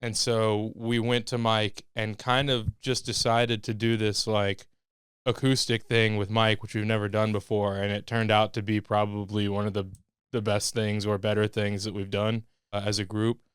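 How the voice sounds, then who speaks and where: A man's voice: fairly low pitch, flat delivery, normal speed, a bit bright, clear, resonant tone, dry recording, clean studio sound. A man in a band, telling the story behind a record in a laid-back, matter-of-fact way during an interview. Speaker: band member. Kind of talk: casual interview chat.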